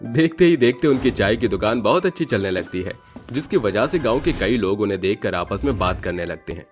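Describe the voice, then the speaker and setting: Low-voiced male storybook narrator. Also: fairly quick, soft background music. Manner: steady, matter-of-fact.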